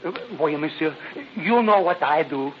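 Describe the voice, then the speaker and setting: An actor playing an emotional, pleading French sailor with a French accent who slips into French, in 1930s radio drama style. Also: male voice, on the high side, moderate pace, natural voice. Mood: relieved, emotional. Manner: excitable, slips into French exclamations. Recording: dull noisy old radio recording.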